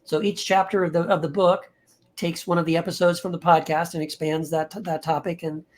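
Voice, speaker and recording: A man who is an author, guesting on a podcast and talking in a relaxed, rambling, easygoing way. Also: a little high, fairly quick. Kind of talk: casual interview chat.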